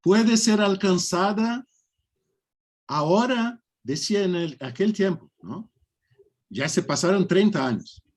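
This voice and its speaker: A man with a fairly high voice talks slowly and thoughtfully. He speaks in a casual online study group over a video call, with gentle laughs along the way. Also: expressive. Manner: slow, warm and reflective, with soft laughs. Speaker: speaker leading a faith study talk.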